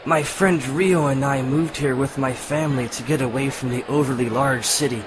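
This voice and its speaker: A man playing a friendly, easygoing teenage boy in an amateur audio drama, casual and relaxed. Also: medium pitch, normal speed, lightly acted. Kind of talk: amateur radio drama acting. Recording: noisy, busy crowd ambience behind.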